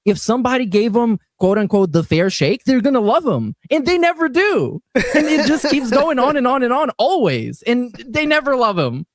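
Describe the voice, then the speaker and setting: A man on a streamed panel show giving casual opinions, emphatic and sweary, with halting repetitions. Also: very high-pitched, big pitch swings, no echo, studio quality.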